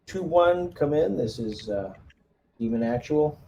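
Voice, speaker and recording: A man's voice: a little high, vocal fry, lo-fi sound, background noise. A man playing a squad commander in a tabletop game, giving orders in a casual, hesitant, polite manner.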